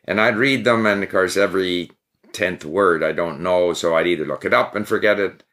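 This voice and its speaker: An older man from Canada, a guest in an interview, telling his story in a relaxed, reflective way. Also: sits low, normal speed. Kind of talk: interview conversation.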